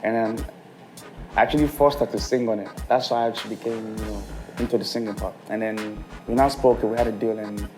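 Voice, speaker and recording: A man's voice: on the low side, steady tone, brisk, a little mellow, faint music behind. A man in his thirties raised in England touched by speech, chatting as a guest and laughing often. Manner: laughs often, mimics others' voices. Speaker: guest musician. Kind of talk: casual chat.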